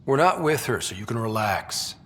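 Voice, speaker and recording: A man playing an easygoing young space explorer in a video game, talking casually with dry teasing and quiet support. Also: on the low side, big pitch swings, normal speed. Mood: matter-of-fact.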